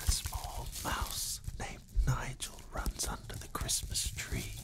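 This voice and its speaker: Young man in a breathy whisper. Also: slow. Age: young adult. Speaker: guest. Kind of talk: playful whispered ASMR. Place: small indoor studio set. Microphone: close studio microphone.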